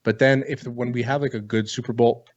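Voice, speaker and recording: A man co-hosting a sports podcast in casual banter, blunt, opinionated and quick to swear. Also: medium pitch, steady tone, dull audio.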